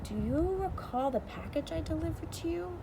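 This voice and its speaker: A woman's voice: sits high, animated, moderate pace, creaky, noisy background, voice pushed up. A woman voicing an upset, apologetic, serious male postman in a read-aloud story. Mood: sheepish.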